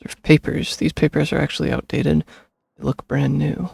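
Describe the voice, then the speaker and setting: A man streaming a video game, casually commenting and reading text aloud in an offhand, gravelly, husky voice. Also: on the high side, little pitch movement, normal speed, a little mellow, dry recording. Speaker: streamer playing and reacting. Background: faint game music.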